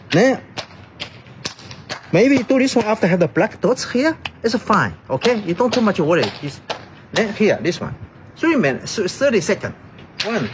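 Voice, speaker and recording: Animated high-pitched non-native male exercise instructor. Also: noisy background. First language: not a native English speaker. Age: middle-aged. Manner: short, simple, broken phrases with repetition. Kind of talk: exercise demonstration with counting. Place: outdoor terrace by a brick building.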